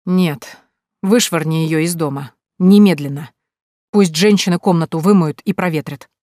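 A woman's voice: deep voice, moderate pace, mellow, dry recording, close to an everyday voice. Stern old male count, icy, clipped, commanding, read by a woman. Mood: icy anger. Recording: dry close recording.

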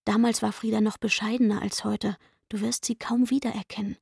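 A woman's voice: medium pitch, little pitch movement, fairly quick, creaky, darkish tone, husky, no echo, studio quality. An actress playing a coaxing, wistful young working girl in a radio play, confiding and eager as she speaks. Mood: coaxing, persuasive. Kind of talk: literary radio play acting.

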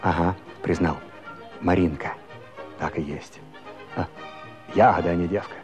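Deep-voiced nosy Cossack villager. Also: male voice, steady tone, measured pace, a little mellow, faint music behind. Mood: curious, admiring. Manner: rustic, wry, appraising. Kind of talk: theatrical musical comedy acting.

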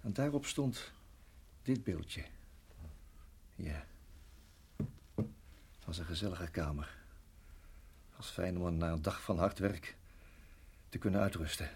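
An actor in a radio play voicing a reflective, middle-aged married farmer who reminisces in a measured, halting way. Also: male voice, low-pitched, creaky, darkish tone, slight background noise, exaggerated voice. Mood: sombre, halting. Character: weary, reflective married farmer recalling his years in Rhodesia.

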